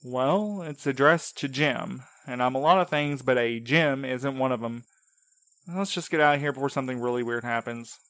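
An actor playing a wry, irreverent courier in an audio drama, talking in a casual, slangy, sarcastic way. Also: male voice, medium pitch, normal speed, fairly bright tone, no room sound, lightly acted. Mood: dryly teasing. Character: wry, irreverent male courier, reluctant and uneasy. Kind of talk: naturalistic full-cast audio drama.

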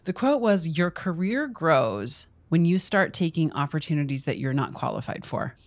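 A woman's voice: low voice, sing-song, normal speed, no room sound. A middle-aged woman co-hosting a podcast, chatting casually and laughing easily. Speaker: podcast co-host. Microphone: studio microphone.

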